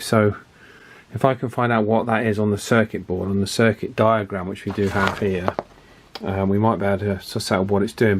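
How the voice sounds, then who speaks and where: A man giving casual hands-on repair commentary, thinking aloud in a hesitant, methodical way and repeating himself as he works. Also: fairly low pitch. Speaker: radio repair hobbyist presenting a video.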